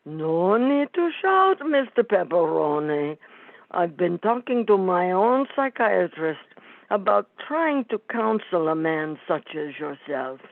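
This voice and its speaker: A woman playing a pompous, neurotic psychiatrist in a comic radio play, with a measured clinical delivery and tinny, phone-like sound. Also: on the low side, very animated, vocal fry, dry recording, full character voice. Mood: hesitant, neurotic.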